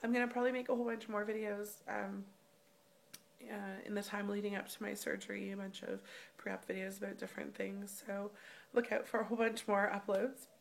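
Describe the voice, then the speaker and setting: A woman in her thirties speaking candidly in a casual personal vlog, her voice slightly rough, with many ums. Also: mid-range voice, little pitch movement, fairly quick, slight background noise. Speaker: vlogger. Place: hotel room.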